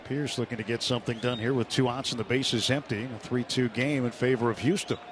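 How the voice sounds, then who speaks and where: A man calling baseball play-by-play live on the radio in a measured, descriptive way. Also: mid-range voice, steady tone. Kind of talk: live sports commentary. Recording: clear stadium noise behind live broadcast. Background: outdoor ballpark ambience.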